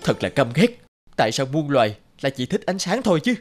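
A man voicing a resentful, lonely young spirit of night in an animated fairy tale, brusque and emphatic. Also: a little high, quick pace. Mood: irritated. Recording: prominent music under the voice.

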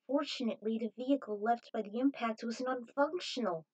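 High-voiced woman narrating a dramatic story. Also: a little fast, darkish tone, low-quality recording.

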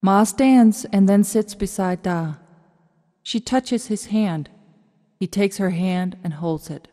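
Female narrator for radio drama stage directions. Slow, measured pace, long reverb. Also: medium pitch, warm tone.

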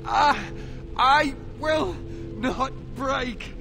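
An actor voicing a tormented, defiant young knight in a video game, anguished and halting, bitter and suspicious from captivity. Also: male voice, very high-pitched, creaky, over music, exaggerated voice. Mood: anguished defiance. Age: young adult. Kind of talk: dramatic video game voice acting.

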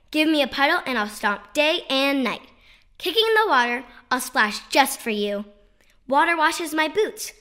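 Bright, high-voiced woman reading children's poems. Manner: playful, sing-song, emphatic. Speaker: children's poetry author and reader. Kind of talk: expressive read-aloud of children's poems.

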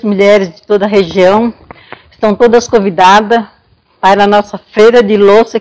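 A woman's voice: mid-range voice, a little mellow. An older woman, giving a friendly, informal invitation to camera. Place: large indoor hall.

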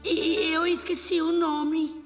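A voice actress playing a bubbly, flustered young motorbike friend in a cartoon dub. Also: female voice, extremely high pitch, flat delivery, takes it slowly, in a large hall, over music.

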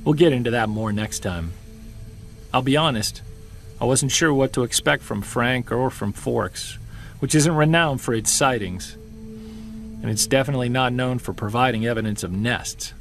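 An actor playing a reflective Bigfoot investigator, confiding in first-person audio drama narration. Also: male voice, mid-range voice, normal speed, a bit bright, natural voice. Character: male Bigfoot investigator narrating his case tapes, reflective. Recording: music under the voice. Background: music.

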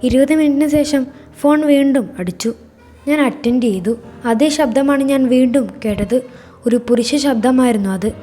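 A woman tells a first-person horror tale as its narrator. Her voice sits somewhat high and has a very mellow, relaxed tone. She reads in a measured, suspenseful manner over faint music. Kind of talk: scripted first-person storytelling.

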